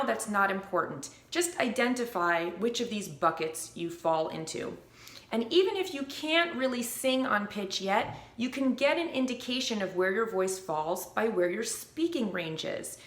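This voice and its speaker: A woman coach teaching singing basics in a bright, ringing voice. Her delivery is clear and upbeat, sometimes breaking into brief sung notes to show what she means. The room adds a roomy echo around her. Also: mid-range voice, animated, moderate pace. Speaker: vocal coach. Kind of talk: instructional video.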